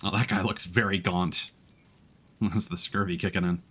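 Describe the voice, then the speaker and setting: A man chatting casually as he live-streams a game, musing aloud in an unhurried way. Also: low voice, steady tone, sharp tone, slightly rough.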